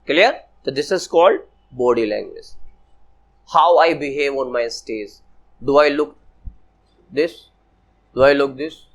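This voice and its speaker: 一位语速缓慢的男老师，讲课时反复问“明白吗”. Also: male voice, medium pitch. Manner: slow, repetitive, often asks "Clear?". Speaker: personality development teacher. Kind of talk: classroom lecture to students.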